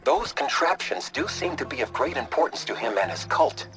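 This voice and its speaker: A man's voice: mid-range voice, lively intonation, normal speed, poor audio. An actor playing a smug male master hacker who speaks in a wry, precise, self-important way over a radio. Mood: dry, composed. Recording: game cutscene with music underneath.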